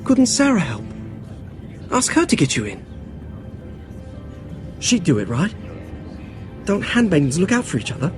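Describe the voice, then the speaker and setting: A man playing an earnest young servant and informant in a dramatic video game, talking in a hushed, confiding way. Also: high voice, huge pitch swings, unhurried, long pauses, heavily acted. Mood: secretive. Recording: music behind the voice.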